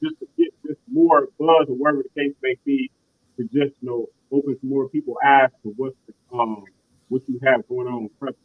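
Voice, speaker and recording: A man's voice: mid-range voice, steady tone, fairly quick. A man hosting an interview show, talking in rambling casual phrases and often saying "you know what I mean". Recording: tinny phone-like line.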